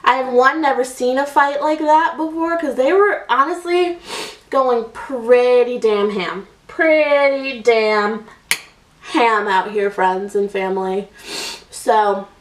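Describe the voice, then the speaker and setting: A woman in her twenties chats away about her life while doing her makeup, her talk full of "like" and "um". Her voice sits a little high. It is picked up from a few feet off in an echoey room. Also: slow. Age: 20s. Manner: chatty, rambling, many "like"s and fillers. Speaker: beauty vlogger. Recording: echoey room, voice a bit distant.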